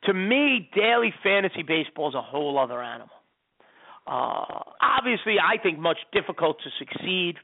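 A man's voice: high voice, big pitch swings, normal speed, gravelly. A man in his thirties hosting a fantasy sports radio show, rambling and repeating words as he talks. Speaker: host. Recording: tinny phone-like sound.

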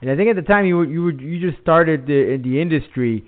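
A man hosting a radio show, talking quickly with many restarts and short backchannels in a casual interview. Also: on the high side, animated. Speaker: radio host. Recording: narrow lo-fi broadcast audio.

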